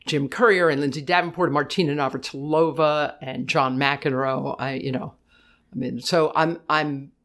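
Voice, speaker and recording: An older woman with a deep voice, a guest in a casual interview, talking fast in bursts, often restarting her sentences and laughing easily. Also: fairly bright tone. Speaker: guest, tennis broadcaster.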